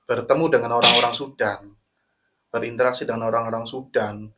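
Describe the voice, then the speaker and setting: A man with an Indonesian accent hosting a casual podcast, asking questions and calmly summing up the answers. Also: medium pitch, fairly quick. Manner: asks questions and sums up calmly. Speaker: podcast host.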